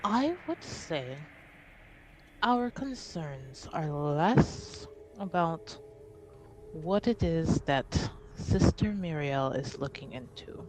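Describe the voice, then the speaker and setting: A woman playing a guarded, formal envoy of a noble house in a tabletop game, speaking deliberately. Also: deep voice, expressive, slight background noise, full character voice, low-quality recording. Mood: controlled indignation.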